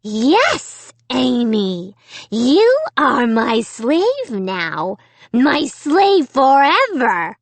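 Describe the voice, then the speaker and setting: A cruel male ventriloquist dummy hisses threats in a harsh, cold, whispery rasp, put on by a woman reading an audiobook. He stretches each word slowly, rising and falling in lively menace. The sound is close and dry. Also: high voice, no room sound, pitched above the performer's own voice, exaggerated voice. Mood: menacing. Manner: slow, drawn-out menacing.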